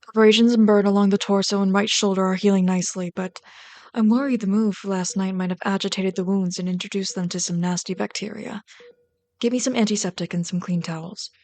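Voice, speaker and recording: A woman's voice: mid-range voice, steady tone, fairly quick, a little mellow, no room sound, natural voice. A woman playing a mocking yet caring villain in an ASMR roleplay, with a dry, taunting, theatrical delivery. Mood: brisk, commanding. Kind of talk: ASMR audio roleplay drama.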